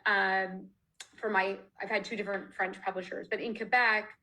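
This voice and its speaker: A woman from Canada talks casually about her work over a video call. Her voice is bright and forceful, set at a middle pitch. She laughs often and restarts her sentences as she goes. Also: level tone, moderate pace, low-quality recording. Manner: chatty, laughs often, many fillers and restarts. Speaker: author being interviewed. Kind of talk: casual conversational interview. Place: home, online video call.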